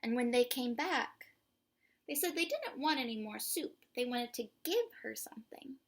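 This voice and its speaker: A woman with a breathy voice reads aloud and explains simply to young children in an expressive, emphatic way. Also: on the high side, normal speed. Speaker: children's story reader and host.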